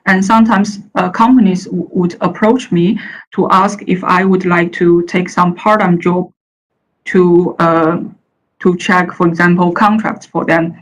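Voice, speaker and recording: A woman's voice: fairly low pitch, fairly even intonation, unhurried, slight background noise, rough recording. A woman from China whose first language is Chinese, giving a presentation talk full of fillers and restarts. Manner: hesitant, many uh fillers and restarts. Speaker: panel speaker. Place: the Netherlands.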